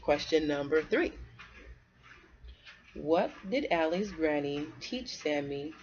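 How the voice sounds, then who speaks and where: Low-voiced woman reading to children, muffled sound. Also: animated, darkish tone, slightly rough. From North Carolina, United States. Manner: conversational, asks the listener questions and pauses for answers. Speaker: storyteller reading aloud to children. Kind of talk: children's read-aloud with discussion questions.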